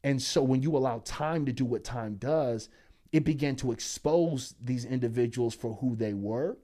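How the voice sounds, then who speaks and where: A man in his twenties, a pastor and speaker, telling his story as a podcast guest in animated, slangy talk. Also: mid-range voice, normal speed. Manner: animated, slangy storytelling that climbs loud when excited.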